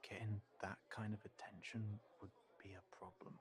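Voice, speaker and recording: A man playing a cautious, protective fantasy character in an intimate audio roleplay, speaking haltingly and thoughtfully as if to one companion. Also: lowish voice, level tone, close to an everyday voice. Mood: worried, hesitant. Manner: hesitant, halting, thoughtful, trailing off.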